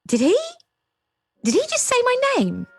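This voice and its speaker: An actress playing a wry, ambitious young advertising professional with a sarcastic edge in an audio drama. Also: female voice, high-pitched, huge pitch swings, a little slow, vocal fry, soft background music, heavily acted. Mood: bewildered, sarcastic. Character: sardonic, ambitious young career woman in advertising.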